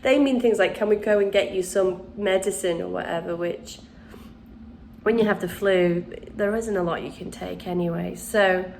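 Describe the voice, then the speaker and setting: Congested female host, casual rambling chat to camera, medium pitch. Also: moderate pace, gravelly, darkish tone, a little hiss or hum. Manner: rambling, chatty, with restarts and ums. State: congested from the flu. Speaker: channel host, witchcraft crafts teacher.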